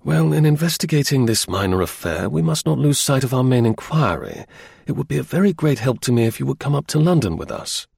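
A man voicing a brisk, observant gentleman detective in a storybook, incisive, courteous and authoritative. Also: mid-range voice, very animated, creaky, husky, no echo, clean studio sound, full character voice. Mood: calm, decisive.

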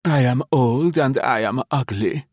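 A man voicing an elderly woman companion who speaks in a slow, reproving way. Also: medium pitch, darkish tone, slightly rough, no room sound, clean studio sound, voice pushed up. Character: fussy elderly female companion, disapproving.

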